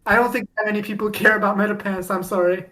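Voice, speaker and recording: A woman chatting as a podcast guest, rambling with many restarts and sudden bursts of excitement. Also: mid-range voice, fast talker, noisy background. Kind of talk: casual podcast chat.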